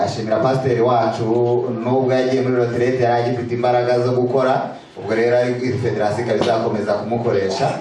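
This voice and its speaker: A man's voice: medium pitch, darkish tone. A man working as a church interpreter, relaying a sermon phrase by phrase after the preacher. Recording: echoey church hall from far off, faint noise.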